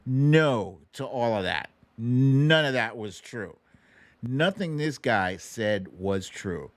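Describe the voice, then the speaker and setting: A man delivering casual political commentary as a livestream host. His pace is unhurried but his pitch swings widely, and he sounds sarcastic and indignant, swearing freely. The recording is dry and close. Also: lively intonation, no echo.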